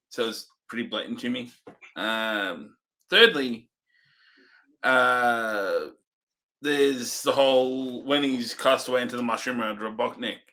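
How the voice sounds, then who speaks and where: A man's voice: mid-range voice, sharp tone. A man co-hosting a casual movie review, listing points in an easygoing way with frequent "um" and "uh". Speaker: co-host.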